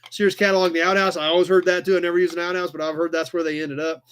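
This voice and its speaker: A man in his forties with an American accent and a slightly high-pitched voice hosts a casual live chat. He talks in an easygoing, rambling way, reading comments and answering them conversationally. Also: flat delivery, rapid-fire, fairly bright tone, a little hiss or hum. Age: 40s. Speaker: live chat show host.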